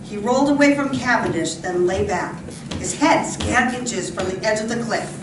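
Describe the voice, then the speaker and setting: A woman's voice: mid-range voice, moderate pace, noisy background. A woman narrator reading an old-time radio adventure in a theatrical, dramatic style. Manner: theatrical, occasional stumbles in reading. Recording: roomy distant stage pickup, loud music.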